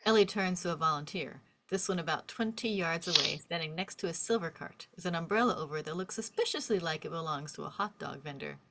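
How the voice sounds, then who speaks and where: A woman's voice: sits low, fast talker, few pauses, sharp tone, a little hiss or hum, poor audio. A woman narrator reading stage directions aloud at an informal table read of a film script.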